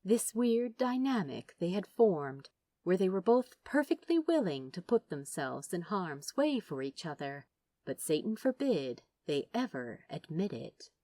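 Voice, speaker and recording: Casting: female audiobook narrator, lowish voice, expressive and theatrical, unhurried pacing for dramatic fiction. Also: dry recording. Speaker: podfic narrator.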